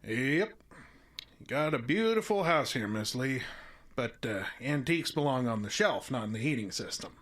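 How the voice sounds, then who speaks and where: Bright, folksy male contractor. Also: medium pitch, lively intonation, normal speed. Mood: folksy, apologetic. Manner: folksy, easygoing, colloquial. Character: folksy, plain-spoken male small-town heating contractor. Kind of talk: audio drama acting.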